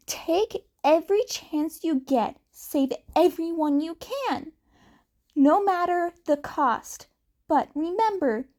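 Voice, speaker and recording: A woman plays an earnest, protective young boy in a story reading. The voice is high and lifted, with emphatic phrases set out slowly and deliberately. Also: dark tone. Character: earnest, protective boy giving urgent survival advice.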